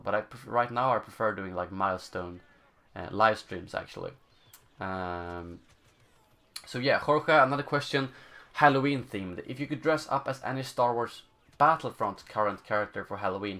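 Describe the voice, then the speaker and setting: Young Swedish-accented male streamer, lowish voice, casual Q&A, stops and restarts while looking for words. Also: fairly bright tone. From Sweden. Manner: halting, searching for words, many fillers. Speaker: gaming channel host. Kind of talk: live stream Q&A, casual chat.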